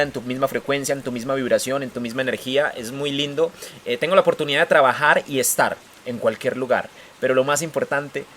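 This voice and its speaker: A man talks on a podcast in a middle-pitched voice. He sounds effusive and heartfelt. A faint hiss sits under the recording. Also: fairly bright tone. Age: 20s. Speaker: podcast guest. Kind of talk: casual podcast chat.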